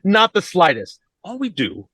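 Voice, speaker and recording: A man's voice: high voice, huge pitch swings, unhurried, fairly bright tone, no echo, exaggerated voice. A man playing a bumbling, cheerfully callous doctor with a hesitant stammer in an old-time radio comedy. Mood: breezy, reassuring.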